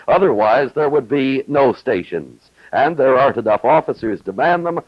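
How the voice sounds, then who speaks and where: A man narrates a 1950s television news feature in a scripted reporter's style. His delivery swings expressively and his voice has a husky edge. The old film soundtrack is dull and roomy. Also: medium pitch.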